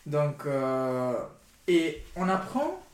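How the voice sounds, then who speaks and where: A man's voice: a little high, huge pitch swings, very slow, a crowd in the background. Hesitant man. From India. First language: not French. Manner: hesitant, searching for words. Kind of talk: casual chat. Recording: roomy echo.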